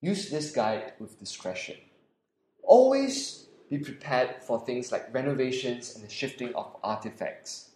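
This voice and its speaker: Man, Singaporean accent, lively rising and falling delivery, scripted tour narration, roomy echo, faint music behind. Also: mid-range voice, unhurried, slight background noise. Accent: Singaporean English. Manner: animated, guiding the listener step by step. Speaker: podcast host and audio tour guide.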